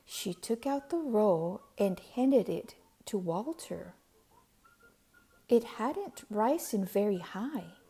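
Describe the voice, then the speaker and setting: A woman reading a children's storybook aloud with expressive, deliberate emphasis on key words. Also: a little high. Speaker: storybook reader.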